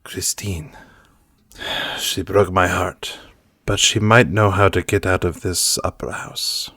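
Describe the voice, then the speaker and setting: A man's voice: sits low, steady tone, slow, pushed and bright, slightly rough, no room sound, heavily acted. Man playing a melodramatic, lovesick young nobleman. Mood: heartbroken, scheming. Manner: grandiose, courtly, melodramatic. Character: lovesick theatrical young nobleman posing as a humble dragon.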